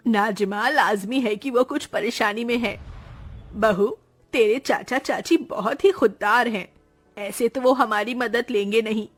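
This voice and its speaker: Cartoon casting: actress as caring, sentimental family woman, very high emotive voice, melodramatic delivery, music underneath. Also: a little slow. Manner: emotive, earnest. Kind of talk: melodramatic cartoon story dialogue.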